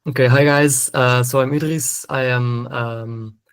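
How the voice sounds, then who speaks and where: A man's voice: mid-range voice, fairly even intonation, measured pace, clear, resonant tone, no room sound. A young man from Belgium giving newcomers practical tips in a webinar, in an easygoing, explanatory way with many fillers. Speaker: student association volunteer giving arrival tips. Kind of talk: webinar briefing.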